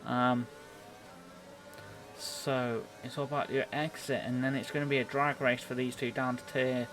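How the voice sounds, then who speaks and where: A man giving live play-by-play commentary on an online racing game, a little halting as he thinks through the action. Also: medium pitch, little pitch movement, background noise.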